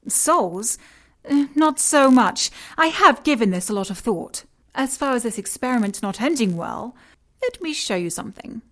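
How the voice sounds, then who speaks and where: An actress playing a cold, seductive scientist in an audio drama, speaking with clinical precision and teasing condescension. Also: female voice, on the high side, expressive, normal speed, fairly bright tone. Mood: dismissive, lecturing. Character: cold, mocking, seductive female scientist in charge of experiments. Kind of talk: audio drama acting, sci-fi noir.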